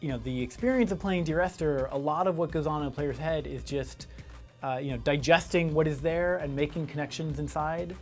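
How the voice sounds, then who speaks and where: A man's voice: fairly high pitch, fairly quick, faint music behind. A man giving a casual, reflective interview as a game designer, tentatively thinking aloud.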